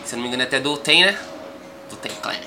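A young man with a São Paulo accent, a rapper guest chatting calmly in a podcast interview, rambling with frequent tag questions. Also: medium pitch, very fast, noisy background. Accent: São Paulo Portuguese. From Brazil.

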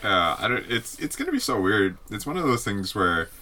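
Young man, deep voice, bright tone, laid-back slangy chat. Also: big pitch swings, normal speed. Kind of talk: casual chat.

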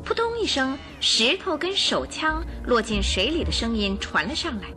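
A woman narrating a scripted radio drama with expressive storytelling. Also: sits high, normal speed. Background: music.

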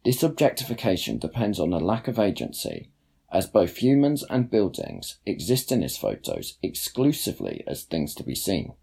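A young man narrates a written piece in a low, creaky voice. His delivery is measured and formal, with careful phrasing and plenty of rise and fall in the intonation. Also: huge pitch swings, dark tone. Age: young adult. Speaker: student writer reading own blog post. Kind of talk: scripted narration, read aloud.

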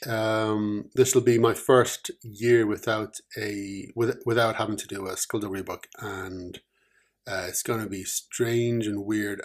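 A man co-hosting a podcast, talking casually in a dry, teasing way with many pauses and ums. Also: fairly low pitch. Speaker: podcast co-host, author. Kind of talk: casual chat.

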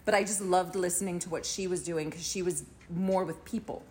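A woman in her forties from Trinidad, chatting as a podcast guest, thoughtful with many pauses. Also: on the low side, steady tone, darkish tone. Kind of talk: casual interview chat.

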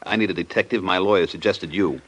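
A blunt, sour man of business in a vintage radio crime play. His voice is low, and he talks gruffly and plainly with a sardonic edge. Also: fast, fairly bright tone. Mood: businesslike. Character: blunt, sour gambler businessman who was swindled. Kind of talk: 1950s radio detective drama acting.

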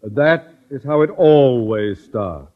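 An actor playing a pompous, irritable middle-aged school principal in an old radio comedy, stern and exasperated. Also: male voice, mid-range voice, expressive, slow pace. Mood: indignant. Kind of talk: 1940s-50s radio comedy acting. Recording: tinny, band-limited old radio recording.

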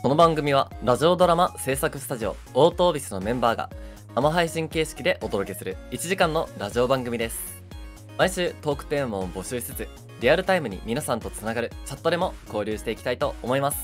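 A man's voice: medium pitch, lively intonation, fast talker, soft background music. A young man co-hosting a casual live radio show in a polite, easygoing way. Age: young adult.

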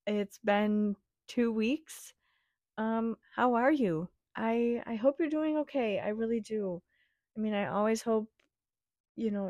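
A young woman hosting her own podcast, her voice raspy from a week-long cough, chatting in a gentle, reassuring, rambling way. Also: medium pitch, dry recording, studio quality. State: raspy from a cold. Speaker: podcast host. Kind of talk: casual solo podcast chat.

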